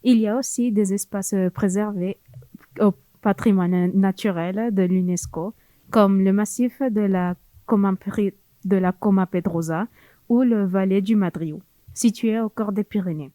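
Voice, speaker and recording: A teenage girl reads from a prepared text. Her voice is mellow. The recording is dry and close. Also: mid-range voice, fairly even intonation, unhurried, no echo.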